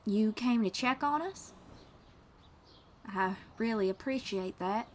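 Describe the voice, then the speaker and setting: A woman's voice: mid-range voice, slow pace. A woman playing a friendly young traveling bard in an audio roleplay, encouraging and reassuring, with a folksy drawl and casual phrasing. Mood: warm, welcoming. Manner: encouraging, reassuring, gently guiding. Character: friendly young female traveling bard who teaches riding. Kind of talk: second-person audio roleplay acting.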